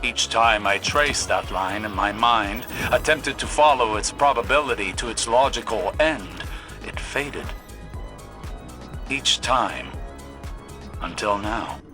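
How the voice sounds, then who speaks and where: An actor playing a solemn, haughty mystic mentor who sees through time in a video game, speaking in formal, measured, grandiose language. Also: male voice, lowish voice. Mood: solemn, haunted. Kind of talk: video game story dialogue. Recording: music bed under the voice. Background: music.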